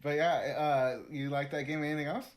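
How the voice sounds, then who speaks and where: A man's voice: a little high, fairly even intonation, fairly quick. A man co-hosting a podcast with casual banter.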